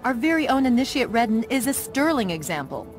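An actress playing a no-nonsense young woman squad commander in a video game, brusque and authoritative with military briskness. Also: fairly high pitch, big pitch swings. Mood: brisk, firm. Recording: game audio with music underneath.

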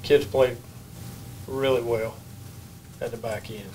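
An older man, a baseball head coach, answering questions at a postgame press conference in a plain, measured way at a table microphone. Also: medium pitch, level tone, a little mellow, slight background noise, low-quality recording. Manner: measured, plain-spoken, many restarts. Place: press room. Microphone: table mic.

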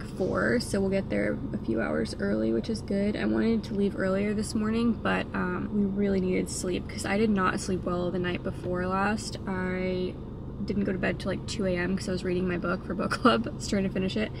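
A young woman chatting into her phone from the passenger seat of a moving car. Her voice has a gravelly fry and sits at an ordinary pitch. The steady hum of road noise runs under everything she says. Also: normal speed. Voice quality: vocal fry. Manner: rambling, chatty, lots of fillers. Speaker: vlogger. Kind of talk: casual chatty vlog.

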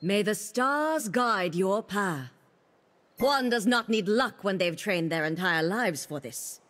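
A woman's voice: mid-range voice, animated, takes it slowly, fairly bright tone, a little hiss or hum. A woman voicing an imperious celestial maiden in a video game, speaking formally and with haughty command. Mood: regal, composed. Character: imperious cosmic maiden, celestial keeper of the stars. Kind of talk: video game character voice lines. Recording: long reverb on game voice.

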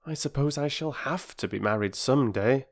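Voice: medium pitch, moderate pace, pitched above the performer's own voice, lightly acted. A narrator voicing a blunt, cocky young boy in a children's storybook. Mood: grumbling.

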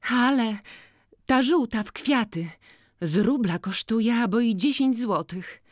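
A reader voicing a lively, bold young village girl in a slowly read audiobook. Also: medium pitch, no room sound, studio quality, voice pushed up. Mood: eager. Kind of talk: audiobook character voice.

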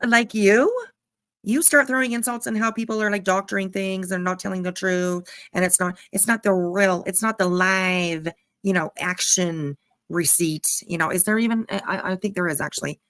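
A woman's voice: medium pitch, fairly even intonation, moderate pace, fairly bright tone, no echo. A woman with a mid-range voice delivers casual reaction commentary. She is sarcastic and mocking, with blunt swearing. The recording is dry, with little room sound. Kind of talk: casual mocking commentary over a played livestream clip.

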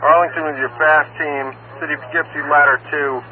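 A man's voice: a little high, level tone, fairly quick. A man working as a county fire dispatcher, reading formal, procedural announcements over two-way radio. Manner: procedural, repeats addresses and numbers in set phrases. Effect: two-way radio transmission. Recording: narrow, noisy scanner radio with hum.

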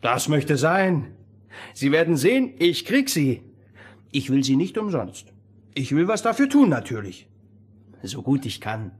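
Eager, cocky teenage boy, husky. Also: a little high, unhurried, pauses often, exaggerated voice. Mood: proudly boastful. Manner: impetuous, boastful, excitable. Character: eager, cocky fifteen-year-old miller's son longing for adventure. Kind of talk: radio play fairy tale acting.

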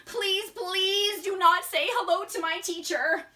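Woman acting out a whiny, high-pitched mortified young boy. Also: fairly even intonation, slow pace, sharp tone, heavily acted. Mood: pleading. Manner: whiny, melodramatic protesting. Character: exasperated, dramatic young boy mortified by his mother. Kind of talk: theatrical children's storytelling.

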